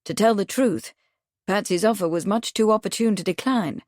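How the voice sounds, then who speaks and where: Woman voicing a composed husband, measured and low-key, storybook, dry booth. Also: darkish tone, no room sound, close to an everyday voice. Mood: earnest. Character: reserved gentleman husband, calm and measured.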